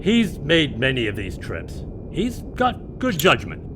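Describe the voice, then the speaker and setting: An actor playing a worried, deferential starship captain in an audio drama, speaking cautiously and respectfully. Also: male voice, unhurried. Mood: anxious, respectful. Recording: steady engine rumble behind the voice.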